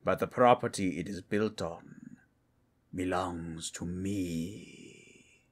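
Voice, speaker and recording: Man voicing an ancient undead sorcerer, very deep and slow. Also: steady tone, slight background noise. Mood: measured, imperious. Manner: slow, deliberate, formal. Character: ancient undead collector, calm, stubborn, menacing male sorcerer. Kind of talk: audio roleplay drama.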